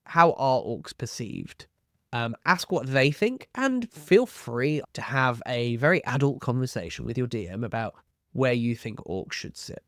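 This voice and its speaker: A man's voice: mid-range voice, no echo. Man giving advice in a dry, close recording. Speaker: host of a tabletop roleplaying advice channel. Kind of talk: explanatory video talk to viewers, posing questions.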